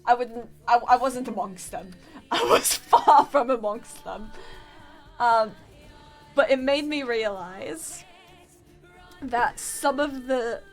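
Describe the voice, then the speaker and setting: A woman's voice: a little high, slow, pauses often, faint music behind. A young woman from Poland, a native Polish speaker, telling a story to her stream chat in a giggly, self-mocking way.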